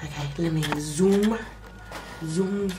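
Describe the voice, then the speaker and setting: Slow-talking young woman, nasal from a cold. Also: on the low side. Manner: slow, chatty step-by-step talk with fillers. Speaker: makeup vlogger. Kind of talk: casual makeup tutorial. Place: small bedroom indoors. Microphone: camera close up to the face.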